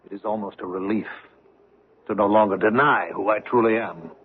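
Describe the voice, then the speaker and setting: An actor in a 1970s radio drama playing a proud SS officer who is unafraid of death, stiff and defiant. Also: male voice, fairly low pitch, fairly even intonation, normal speed, slightly rough. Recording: narrow tinny 1970s radio broadcast.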